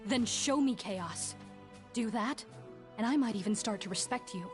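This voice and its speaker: A woman's voice: on the high side, normal speed, natural voice. An actress playing a resigned yet proud young woman warrior in a video game cutscene. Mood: challenging. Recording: music faintly behind. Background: faint music.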